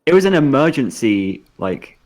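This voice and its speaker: A man's voice: medium pitch, big pitch swings, moderate pace, darkish tone. A man co-hosting a casual fan podcast over an online call, playful and teasing, laughing often. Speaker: podcast co-host. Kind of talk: casual chat.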